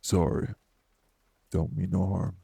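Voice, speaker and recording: A man playing a morose older wraith in an audio drama, blunt, folksy and scolding, with a husky, gravelly voice. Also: level tone, slow pace, dry recording, clean studio sound, full character voice. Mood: reserved, hospitable. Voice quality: very gravelly, husky, dark low voice. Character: morose older male wraith, rural homebody, stern but hospitable. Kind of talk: dramatic audio roleplay acting.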